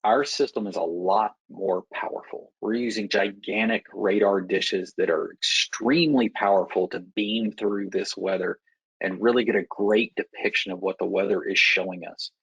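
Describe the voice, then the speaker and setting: An American man working as an air traffic controller, explaining procedures in a measured, instructive way with deliberate pauses. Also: on the low side, steady tone, a bit bright, breathy edge, no room sound, rough recording. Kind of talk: explanatory Q&A talk to pilots.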